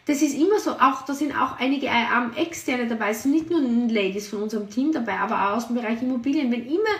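Energetic high-voiced woman. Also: quick pace, reverberant, recorded from a distance. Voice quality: high, shrill voice. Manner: energetic and emphatic, piles up repeated words. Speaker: podcast host and sales team leader. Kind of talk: motivational podcast talk.

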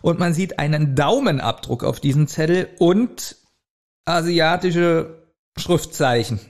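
Guy co-hosting a podcast, voice a bit on the high side, relaxed informal chat. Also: takes it slowly. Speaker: podcast co-host. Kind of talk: casual chat reviewing an audio drama. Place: remote recording at a computer.

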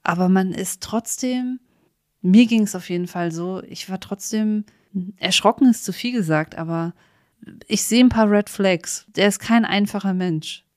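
A woman's voice: mid-range voice, a little slow, a little mellow, dry recording, clean studio sound. A woman chatting casually as a podcast co-host. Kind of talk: casual chat.

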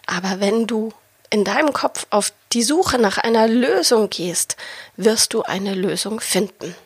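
A woman's voice: a little high, creaky, breathy edge, no room sound. A young woman with a cold, hosting a podcast as a baby sleep coach and speaking in an encouraging way straight to the listener. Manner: warm encouraging direct address, addresses listener as du. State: has a cold. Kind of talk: casual podcast monologue.

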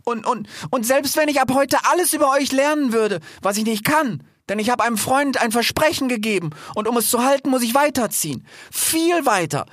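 A man's voice: very high voice, lively intonation, a little fast, few pauses, fairly bright tone, no room sound, studio quality, voice pushed up, heavily acted. A man voicing a small, earnest, hesitant animal in a storybook, stammering and ending sentences with questioning tags. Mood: apologetic, hesitant.

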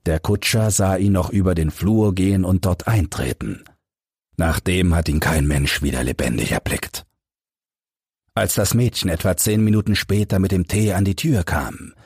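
Man, deep register, dry close sound, careful audiobook storytelling, husky. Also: darkish tone, no echo. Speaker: audiobook narrator. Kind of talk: scripted narration of a detective story.

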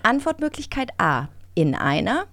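A woman's voice: a little high, very animated, normal speed, fairly bright tone. A woman co-hosting a podcast in a casual, playful style. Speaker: podcast co-host.

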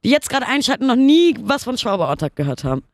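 A woman's voice: fairly high pitch, big pitch swings, quick pace, no echo. Casual female radio host, dry sound. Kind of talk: casual radio hosting and interviewing.

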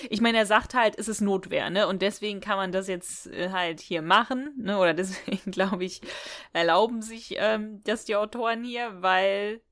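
A woman's voice: medium pitch, moderate pace, fairly bright tone, dry recording. A woman co-hosting a podcast, chattily retelling and reviewing a story in a casual, giggly way. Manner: chatty, giggly, acting out quoted lines. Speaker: podcast co-host. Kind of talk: casual chat retelling and reviewing an audio drama episode.

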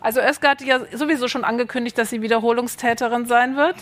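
Moderatorin einer Podiumsrunde, höhere Stimme, lenkt das Gespräch. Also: female voice, a little high, steady tone, fast, a bit bright. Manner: asks questions, guides speakers. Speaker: panel moderator. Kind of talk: moderating a panel discussion.

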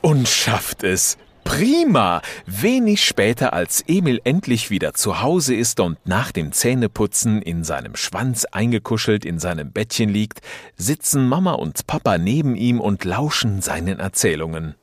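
A man with a fairly low voice narrates a children's tale. His delivery is theatrical and highly animated, exclaiming as though he were watching it all happen. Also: measured pace, no echo. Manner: theatrical, exclaiming, involved in the action. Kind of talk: expressive children's story narration.

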